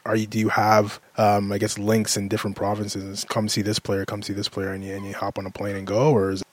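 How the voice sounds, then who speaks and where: A man's voice: on the low side, monotone, fast. A man co-hosting a casual radio interview, asking long, searching questions that pile up one alternative after another.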